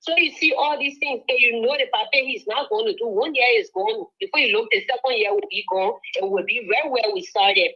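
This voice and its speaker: A woman's voice: a little fast, hardly any pauses. Impassioned Liberian woman caller on phone. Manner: impassioned, rising pitch when pressing points. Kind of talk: call-in political commentary. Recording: phone line audio.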